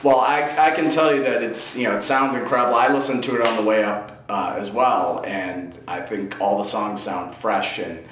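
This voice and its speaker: Guy doing an interview, upbeat and laughing a lot, low-ish voice, roomy sound with faint hiss. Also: fairly even intonation, moderate pace, few pauses, a bit bright. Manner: enthusiastic, laughs often. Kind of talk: casual interview chat. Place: indoor concert venue. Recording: roomy, faint background noise.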